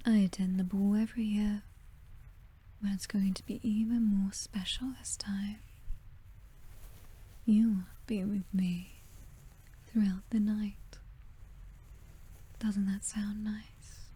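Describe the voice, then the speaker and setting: A woman with a British accent playing a possessive, seductive vampire mistress in a whispered, breathy ASMR roleplay, doting yet condescending and coolly menacing. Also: mid-range voice, little pitch movement, slow pace, pauses often, mellow. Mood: silky, possessive.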